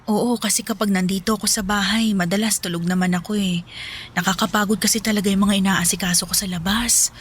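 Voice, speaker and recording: A woman's voice: mid-range voice, fairly even intonation, fast talker, slightly rough, natural voice. A woman acting a weary, evasive girlfriend in a Filipino radio drama, speaking with firm exasperation. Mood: evasive, tired. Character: weary, evasive young woman pulling away from her boyfriend.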